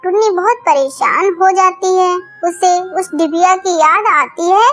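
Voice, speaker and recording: A woman's voice: very high voice, unhurried, fairly bright tone. A woman narrator reading a scripted children's story.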